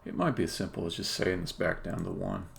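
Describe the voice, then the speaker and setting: Low, gravelly man thinking aloud. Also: quick pace, a bit bright. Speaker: game developer, live streamer. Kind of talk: casual live-coding commentary, explaining on a stream. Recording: clear background noise.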